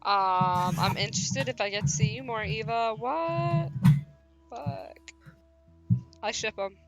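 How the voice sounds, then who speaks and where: Woman game streamer, slightly high voice, playful and slangy, casual chat mixed with narration, faint music. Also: slow, a bit bright. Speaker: livestream host and game player. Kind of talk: casual chat and reading visual novel aloud.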